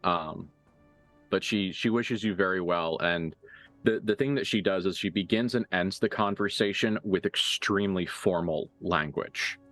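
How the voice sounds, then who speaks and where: A man's voice: sits low, measured pace, a bit bright, faint music behind. A man acting as game master, improvising narration for a tabletop roleplaying game in a casual, mildly profane way.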